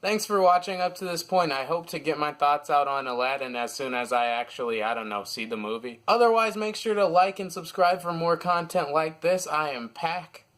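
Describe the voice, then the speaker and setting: A man's voice: a little high, expressive, moderate pace, hardly any pauses, a bit bright. A man hosting a casual, partly scripted movie review, talking informally and with plenty of opinion and slang.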